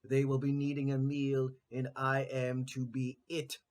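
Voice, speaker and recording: A man's voice: mid-range voice, completely flat delivery, a little slow, a little mellow, lightly acted. A man voicing a mournful, indignant old rooster in a storybook tale, with a dramatic wailing delivery. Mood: despairing. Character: despairing old male rooster, loud and indignant.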